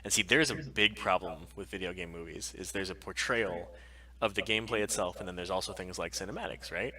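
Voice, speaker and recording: A man's voice: low voice, fairly quick, bright, forceful tone, slightly rough. A man co-hosting a podcast live stream, chatting casually and bluntly with opinions and plenty of swearing.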